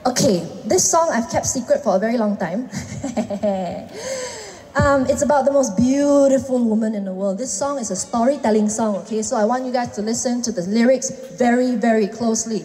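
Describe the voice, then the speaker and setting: A woman's voice: fairly high pitch, moderate pace, mellow, in a large hall. A woman singer chatting cheerfully and laughing between songs on an outdoor rooftop stage, speaking into a handheld microphone through the PA at a live concert. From Penang, Malaysia. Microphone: handheld stage mic through PA. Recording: live concert audio with band music. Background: band and audience.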